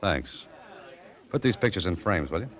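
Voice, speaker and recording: An American actor playing a wry, hard-boiled mystery hero in a 1940s radio drama, with dry, clipped wisecracking delivery. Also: male voice, deep voice, animated, moderate pace, very noisy. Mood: dry, probing. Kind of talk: 1940s radio mystery acting and first-person narration. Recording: muffled old radio recording with music.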